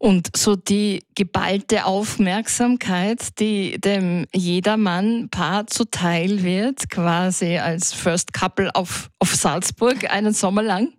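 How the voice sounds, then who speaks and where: A woman's voice: medium pitch, fairly even intonation, slow, few pauses, dry recording. Composed woman radio interviewer. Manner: composed, well-prepared questioning.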